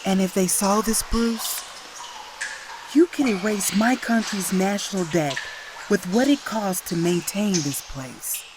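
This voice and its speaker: A woman's voice: mid-range voice, a little slow, close to an everyday voice. A woman playing a glamorous, earnest lover of a vigilante, dramatic in a motion comic. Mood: overwhelmed, troubled. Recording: clear background noise.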